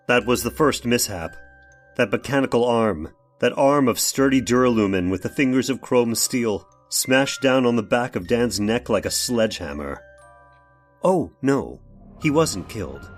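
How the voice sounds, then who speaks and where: A man playing a genteel, reasoning scientist who narrates a dark tale in old-time radio horror style, avuncular and measured in his wording. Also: on the low side.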